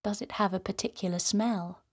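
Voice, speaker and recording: A woman hosting a guided meditation for children, speaking in a gentle, soothing, softly breathy way. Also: mid-range voice, fairly even intonation, a little fast. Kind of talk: guided relaxation narration for children.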